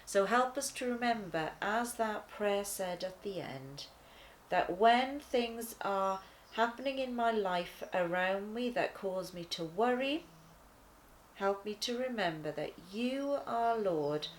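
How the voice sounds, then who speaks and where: A woman reading a children's devotional aloud in a deliberate, expressive manner. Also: medium pitch, a little hiss or hum, low-quality recording. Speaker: children's church story reader.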